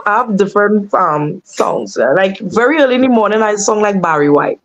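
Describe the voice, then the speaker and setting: Husky-voiced woman, lively guest. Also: mid-range voice, a little fast, poor audio. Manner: animated, laughs often. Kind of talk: casual chat.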